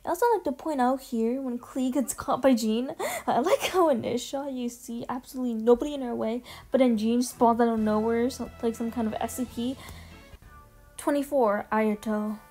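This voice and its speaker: A young woman with a fairly high but very soft-edged, mellow voice gives casual commentary. She talks in an informal, slangy way and leans on her opinions with emphasis. Music plays under her voice. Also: normal speed. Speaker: video creator ranking game trailers.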